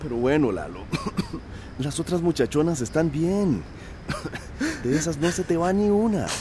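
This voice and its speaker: A man's voice: on the high side, big pitch swings, measured pace, noisy background. An actor playing a plain-spoken Central American country man consoling a friend in a radio drama. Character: consoling male rural friend, matter-of-fact.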